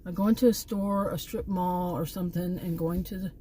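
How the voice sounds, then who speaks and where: A woman's voice: on the low side, steady tone, normal speed, dark tone, a little hiss or hum. A middle-aged American woman chatting casually and digressively, often trailing off and circling back to her point. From Texas, United States. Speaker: vlogger. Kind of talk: casual rambling chit-chat. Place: outdoors near a park.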